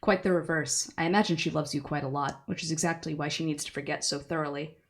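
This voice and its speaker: A woman voicing a cryptic cloaked androgynous entity who speaks slowly, quietly and gravely. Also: low voice, fairly even intonation.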